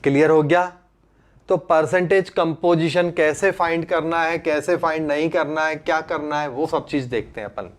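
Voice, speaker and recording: Higher-pitched Indian man teaching a class. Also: fairly even intonation, normal speed. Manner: informal, repeatedly checks understanding with questions; mixes English terms into Hindi. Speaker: chemistry teacher. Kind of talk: live online class lecture.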